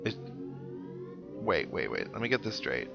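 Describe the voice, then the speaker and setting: Man, low creaky voice, wry rambling let's play host, muffled lo-fi mic, loud game music behind. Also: sing-song, a little slow. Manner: rambling, wry, musing aloud. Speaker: host of a video game playthrough series. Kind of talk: casual let's play commentary. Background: video game music.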